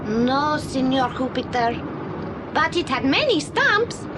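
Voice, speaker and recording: High, slow-speaking earnest Spanish-accented boy. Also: very animated, heavily acted. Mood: earnest, solemn. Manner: simple broken English, halting storytelling. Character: earnest young immigrant boy, Spanish-speaking, telling a story. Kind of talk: British audio drama acting. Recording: noisy old audio play recording.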